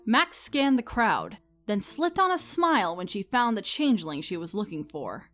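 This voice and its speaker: Woman narrator reading a story, mid pitch, dramatic delivery, bit of music behind. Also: sing-song, normal speed, a bit bright.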